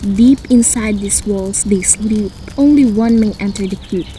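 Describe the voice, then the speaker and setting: A woman's voice: medium pitch, a little slow, warm tone, a little hiss or hum, lightly acted. A woman playing a determined, impatient young woman in a fantasy audio drama, speaking earnestly. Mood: solemn.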